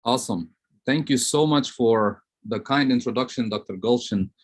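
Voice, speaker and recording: A man's voice: medium pitch, normal speed. A man from Lebanon giving a semi-formal online lecture as a professor.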